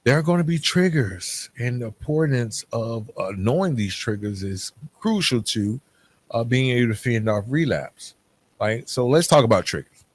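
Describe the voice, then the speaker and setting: A man's voice: mid-range voice, lively intonation, normal speed. A man hosting a solo podcast, talking candidly and informally in a half-scripted, conversational way, checking in with "right?" as he makes his points. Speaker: podcast host.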